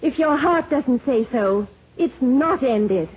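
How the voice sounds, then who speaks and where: An actress playing a poised, lovelorn but resolute society wife in a 1950s radio drama, speaking in an earnest, genteel way. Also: female voice, high-pitched, expressive, slow pace. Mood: newly resolute. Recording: tinny 1950s radio recording.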